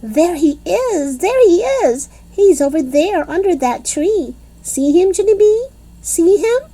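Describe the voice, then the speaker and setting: A very high, squealing little schoolgirl, voiced by a woman reader jumps from word to word with excitement. Her shrill voice swoops wildly, yet it keeps a mellow, soft tone. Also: slow pace, exaggerated voice. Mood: thrilled.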